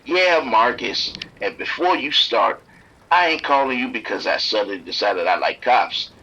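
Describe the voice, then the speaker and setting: An actor playing a streetwise pimp trapped in a motel bathroom, blunt and grudging with the police. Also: male voice, mid-range voice, lively intonation, moderate pace, bright, forceful tone, husky, dull audio. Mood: bitter. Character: streetwise male pimp hiding from attackers, bitter toward police.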